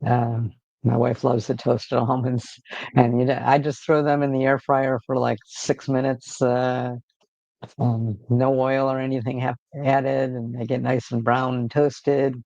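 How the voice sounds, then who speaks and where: A man with a gravelly, creaky voice hosting a relaxed livestream from home. He rambles loosely, with lots of fillers and restarts. The audio is dry and a bit dull and lo-fi. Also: mid-range voice, a little slow, no room sound. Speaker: livestream host. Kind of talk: casual livestream chat.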